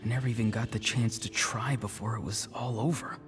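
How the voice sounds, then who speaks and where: An actor voicing an earnest, self-doubting young man in a video game, pensive and soft-spoken. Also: lowish voice, steady tone, brisk, close to an everyday voice. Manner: hesitant, pensive. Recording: voice over clear background music.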